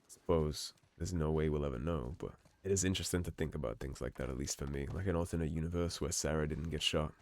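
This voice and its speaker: A man giving relaxed, musing commentary over a game playthrough, his voice slightly hoarse. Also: very deep voice, quick pace. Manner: relaxed, musing, reads in-game notes aloud. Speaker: game streamer. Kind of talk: let's play commentary.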